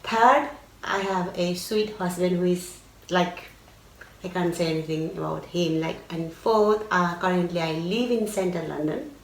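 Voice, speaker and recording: A middle-aged woman from Nepal with a Nepali accent, speaking as an interview guest, halting and searching for words. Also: fairly low pitch, slight background noise. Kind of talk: casual interview. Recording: echoey room, mic at a distance.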